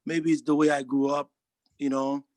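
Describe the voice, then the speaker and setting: A man's voice: fairly high pitch, flat delivery, a little mellow, no room sound. A man, speaking earnestly in a testimonial interview and often restarting his sentences. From Haiti. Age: 40s. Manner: earnest, halting, frequent restarts. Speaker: interviewee, social worker.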